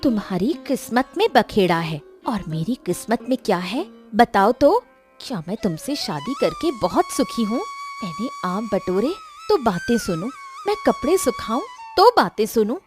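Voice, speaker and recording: A woman voicing an aggrieved, hot-tempered young village wife in an animated story, complaining with melodramatic emphasis. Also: a little high, moderate pace, soft background music. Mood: indignant.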